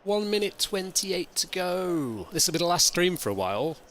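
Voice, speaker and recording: A man streaming a sim race, with a croaky, hungover voice, rambling and thinking aloud in casual chat. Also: high voice, very animated, moderate pace, a bit bright. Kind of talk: casual live-stream commentary.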